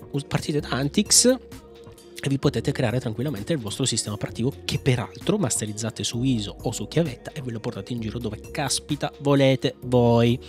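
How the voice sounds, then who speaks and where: Informal man chatting about tech. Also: mid-range voice. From Italy. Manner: informal, playful, slangy, rambling. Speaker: host of a Linux video channel. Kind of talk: casual tech tutorial chat. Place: small home room. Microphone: microphone on a boom arm, close.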